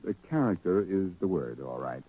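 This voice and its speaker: An actor playing a breezy, wisecracking amateur detective with a cocky, bantering manner in 1940s radio style. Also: male voice, low-pitched, big pitch swings, moderate pace. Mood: sly, assured. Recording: dull 1940s radio recording.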